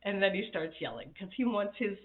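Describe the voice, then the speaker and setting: A woman coaching on a live stream, chatty and laughing often, her voice raspy from a recent illness. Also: mid-range voice, expressive, fairly quick, a little hiss or hum. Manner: chatty, laughs often, reads viewer comments. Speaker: happiness coach. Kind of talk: casual live-stream talk. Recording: tinny phone-like sound in an echoey room.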